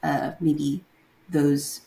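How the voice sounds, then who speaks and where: A young woman from Taiwan, a guest in an interview, talking casually and often pausing to search for words. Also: deep voice, little pitch movement, vocal fry, mellow, rough recording. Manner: hesitant, searching for words with many fillers.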